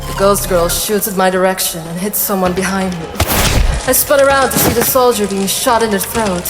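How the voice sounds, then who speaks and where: A woman's voice: medium pitch, steady tone, brisk, lightly acted. An actress playing a brash, scrappy fighter who narrates her battles in a casual, cocky way. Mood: cocky, battle-ready. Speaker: character narrator. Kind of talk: scripted first-person action narration. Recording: loud music bed. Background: loud music.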